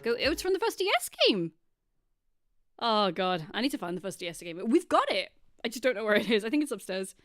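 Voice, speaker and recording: A woman livestreaming a dramatic reading, breaking off into excitable, playful, chatty reactions. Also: mid-range voice, fairly quick, dry recording, studio quality, soft background music. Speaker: streamer reading a fan story aloud.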